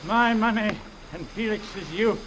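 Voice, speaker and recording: An actor playing a wounded, embittered old rich man, weak and in pain, halting and labored, in a vintage radio drama. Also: high voice, a bit bright. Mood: bitter. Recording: very noisy old radio recording.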